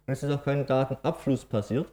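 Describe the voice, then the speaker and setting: Swabian-accented presenter, middle-aged, mellow dark voice, explains plainly with filler sounds. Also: male voice, mid-range voice, steady tone, quick pace, slight background noise. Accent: Swabian German. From Swabia, Germany. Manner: explanatory, matter-of-fact, with frequent "ähm" fillers. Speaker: conference speaker. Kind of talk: technical conference presentation.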